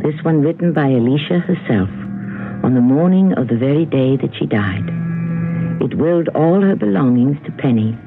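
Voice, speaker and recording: A man's voice: a little high, lively intonation, creaky. Portentous male radio drama host over music. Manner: measured, portentous. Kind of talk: dramatic scripted narration. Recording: thin lo-fi 1970s radio broadcast.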